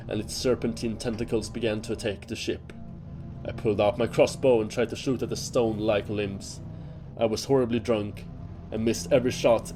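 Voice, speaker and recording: A man playing a self-deprecating seafaring monster hunter who tells his tale in a deliberate, theatrical way in an audio drama. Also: lowish voice, lightly acted. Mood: tense recounting. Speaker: character telling a campfire tale in an audio drama. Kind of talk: scripted dramatic storytelling. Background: music under the voice.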